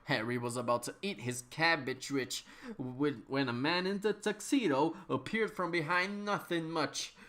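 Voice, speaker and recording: A woman's voice: low voice, a little slow, fairly bright tone. A woman narrating absurd comic fiction as a dramatic reading, in a mock-serious manner. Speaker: narrator, reader.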